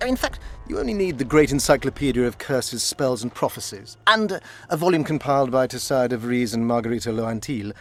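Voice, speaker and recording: Smug court mage, played by a man, medium pitch, pompous silky courtesy, fantasy game dialogue over faint music. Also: lively intonation, brisk, few pauses, natural voice. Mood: lecturing. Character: smug, condescending, scholarly male court sorcerer. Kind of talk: video game dialogue acting.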